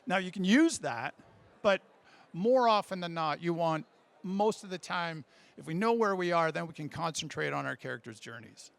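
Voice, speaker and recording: A man giving a writing workshop, answering audience questions in an animated, informal way that often stops and restarts. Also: a little high, vocal fry. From Canada. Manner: animated, informal, halting, self-interrupting. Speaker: speaker giving a writing workshop, audio fiction creator. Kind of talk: conference talk question and answer.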